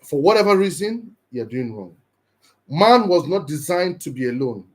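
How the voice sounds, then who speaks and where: A man's voice: on the high side, sing-song, normal speed. A man from Nigeria giving an earnest, encouraging motivational talk on a live stream, often repeating and restarting his words.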